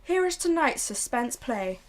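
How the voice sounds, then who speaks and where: A woman's voice: high voice, sing-song. A young woman narrating and announcing in a recreated 1940s radio drama. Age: young adult. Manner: measured, storytelling.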